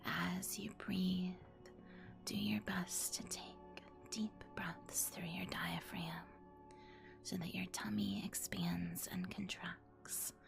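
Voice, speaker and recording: Slow whispering woman, ASMR meditation host. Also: on the low side, sing-song, gravelly. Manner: soothing, deliberate, instructional. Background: music.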